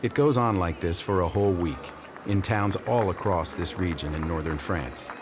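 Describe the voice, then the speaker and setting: Deep-voiced man narrating a documentary. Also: moderate pace, background noise. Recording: voice-over with loud music behind.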